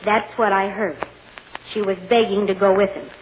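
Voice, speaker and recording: Actress as earnest, nervous chambermaid on muffled radio. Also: female voice, medium pitch, flat delivery, moderate pace, close to an everyday voice. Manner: deferential, hesitant, simple folksy wording. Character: plain-spoken hotel chambermaid, nervous but earnest female witness. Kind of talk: 1940s radio drama acting. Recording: muffled, noisy old radio recording.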